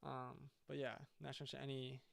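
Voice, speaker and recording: A man's voice: mid-range voice, monotone, fairly quick, vocal fry, no room sound. A young man, a band's singer, chatting casually on a livestream and often stopping to restart his sentences. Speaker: singer and band frontman.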